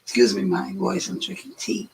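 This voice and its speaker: A hoarse woman telling stories in a chatty, rambling way as a guest on her son's video. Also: medium pitch, big pitch swings, moderate pace, darkish tone, a little hiss or hum. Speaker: guest, host's mother. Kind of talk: casual storytelling chat.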